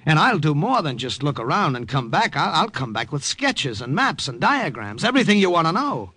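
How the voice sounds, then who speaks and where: An actor playing an earnest young American army sergeant who also narrates, in a 1940s radio drama. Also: male voice, a little high, sing-song, fairly quick, bright, forceful tone, breathy edge, no echo, full character voice.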